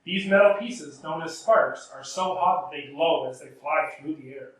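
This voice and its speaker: A man reads a children's book aloud in a workshop. His voice is a bit high, far from the microphone, with heavy echo all round. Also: moderate pace, fairly bright tone. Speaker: robot combat team member. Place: robot workshop, indoors. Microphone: distant camera. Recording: very echoey workshop, distant mic.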